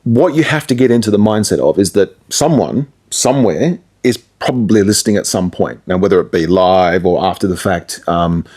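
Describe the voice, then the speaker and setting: A man's voice: low-pitched, moderate pace, hardly any pauses, a little mellow. An Australian man, a radio presenter, chatting casually as an interview guest in a rambling, reflective, anecdotal way. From Perth, Australia.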